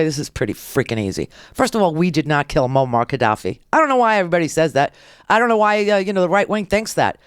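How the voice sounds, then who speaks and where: A woman's voice: fairly low pitch, no echo, studio quality. A woman hosting a political talk radio show, lecturing her listeners with brash sarcasm.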